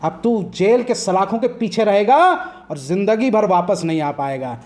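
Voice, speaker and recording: A man's voice: high voice, moderate pace, mellow. A man arguing as a political commentator in a heated TV debate, impassioned and combative, firing off rhetorical questions.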